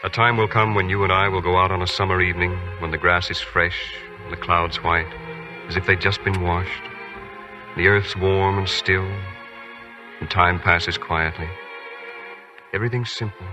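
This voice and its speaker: Man playing young soldier husband, tender and joking, very deep voice, 1940s radio acting, dull old broadcast sound. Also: steady tone, normal speed, over music, natural voice. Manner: soft, dreamy and gently joking.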